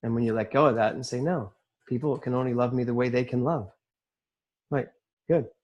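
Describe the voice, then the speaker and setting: A man's voice: medium pitch, steady tone, a little fast, a little mellow, muffled recording. A man leading a group coaching session, questioning members in a direct, probing way. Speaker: group leader.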